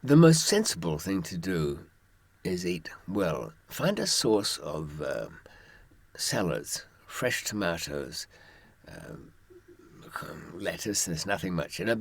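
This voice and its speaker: An older man with a low voice that swings widely in pitch as he tells his stories. He is a droll, wordy raconteur, handing out advice from experience, recorded close and dry. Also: husky, no room sound. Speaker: author giving advice from experience. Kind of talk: anecdotal storytelling to listeners.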